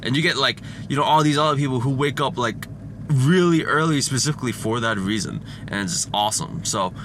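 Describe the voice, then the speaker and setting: A man's voice: mid-range voice, brisk. A young man vlogging about cars, talking casually and rambling with lots of "like" and "you know", his voice stuffy and nasal from a cold. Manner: rambling, many likes and you-knows. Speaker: car vlogger. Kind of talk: casual vlog talk to camera. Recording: noisy background.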